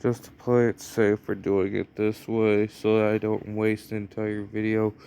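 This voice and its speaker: Slow, rambling young American man. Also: on the low side, completely flat delivery, gravelly, mellow, clear, resonant tone. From United States. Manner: rambling, hesitant, many fillers. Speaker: gameplay commentator. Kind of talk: casual gameplay commentary.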